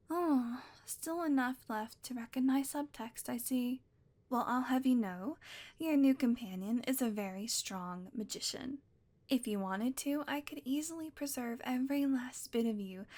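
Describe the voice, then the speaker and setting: Woman playing a doting, possessive witch. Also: high-pitched. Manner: soft, doting, teasing, drifts into whispers. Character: sweet possessive female witch, playfully menacing. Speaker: audio roleplay performer. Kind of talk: scripted ASMR roleplay.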